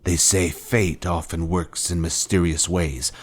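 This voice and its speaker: Very deep, grave fallen master villain. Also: male voice, steady tone. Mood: cold, certain. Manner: slow, solemn, pompous formal speech. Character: menacing fallen Jedi master, grave former mentor, cold and grandiose. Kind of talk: scripted video game villain dialogue.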